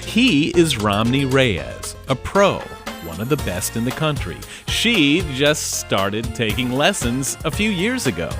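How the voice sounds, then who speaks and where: A man narrating a scripted TV news feature in a lively storytelling way. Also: medium pitch, a little slow, hardly any pauses. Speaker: reporter, narrator. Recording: voice-over over music.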